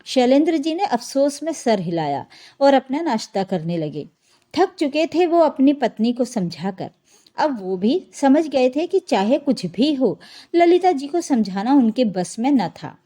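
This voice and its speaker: A woman's voice: high-pitched, big pitch swings, unhurried, dark tone. A woman narrating a scripted family drama story as a storyteller.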